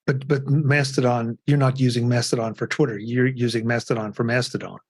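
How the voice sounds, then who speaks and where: A man hosts a casual tech panel over a video call, calmly handing the floor to each guest in turn. His voice sits in a middle register. The sound is dry and close, with no room echo. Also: mid-range voice, fairly quick, slightly rough. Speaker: host.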